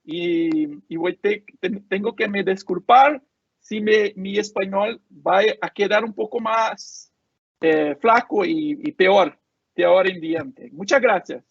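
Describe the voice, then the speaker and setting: Gravelly, husky man lecturing over a call. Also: high voice, lively intonation, dry recording. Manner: halting, many fillers and restarts. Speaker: conference speaker. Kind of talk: academic talk with Q&A. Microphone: video call. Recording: dull lo-fi call audio.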